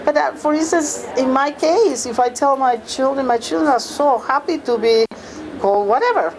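A woman's voice: high voice, moderate pace, a little hiss or hum, low-quality recording. A middle-aged woman from Peru, speaking thoughtfully and a bit hesitantly as a panelist at a forum. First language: Spanish. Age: middle-aged or older. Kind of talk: forum discussion.

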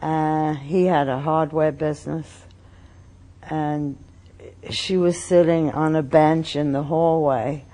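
An older woman from Austria recalls her past for an oral history interview. She talks slowly and haltingly, with frequent pauses and fillers. Her voice is warm and mellow. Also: sits low, flat delivery.

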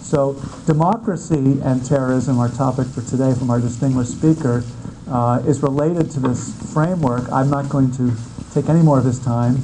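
Man, professor, measured lecture, some restarts, background noise. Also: medium pitch. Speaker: professor introducing speaker. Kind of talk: classroom lecture.

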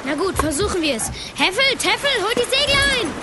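A woman voicing an excitable water gnome sailor who keeps exclaiming in alarm. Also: very high voice, fast, very noisy. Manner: exclaims holy moly.

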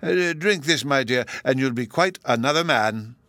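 A man's voice: mid-range voice, lively intonation, normal speed, fairly bright tone, dry recording, voice pushed up. A man voicing a befuddled, kindly old professor in a storybook, with a hesitant, singsong delivery. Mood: puzzled, kindly. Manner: dithering, hesitant, singsong and theatrical.

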